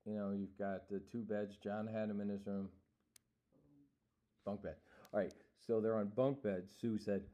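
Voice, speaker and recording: Low-voiced man, playfully reading a picture book. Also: lo-fi sound. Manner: rambling, playful, goofy. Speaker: reader of a children's picture book. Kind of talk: informal read-aloud with ad-libbed asides.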